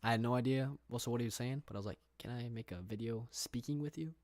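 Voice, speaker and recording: Young guy from england just chatting on stream, lots of likes and restarts, mid-pitch voice, dry sound. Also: fast, darkish tone, no echo. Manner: rambling, many fillers and restarts, reads viewer questions aloud. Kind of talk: casual livestream chat. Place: room.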